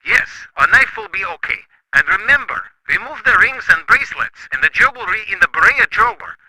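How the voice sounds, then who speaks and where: A man voicing a cold criminal boss in a radio play, his voice high and very bright. He lays out orders in a flat, businesslike, commanding way. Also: brisk, full character voice, rough recording. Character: cold male criminal giving murder orders.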